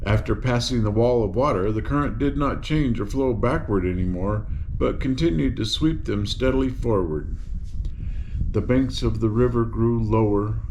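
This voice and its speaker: A man's voice: lowish voice, normal speed, darkish tone, a little hiss or hum, rough recording. Older man reading a children's story. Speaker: storybook reader. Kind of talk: narrating a children's story with casual asides.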